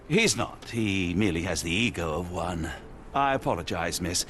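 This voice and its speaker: Detective's companion: gentleman doctor, courteous, dryly witty, animated intonation, clear background noise. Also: low-pitched, normal speed. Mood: wry, apologetic. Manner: polite, dryly wry. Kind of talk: video game cutscene acting. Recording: noisy background ambience.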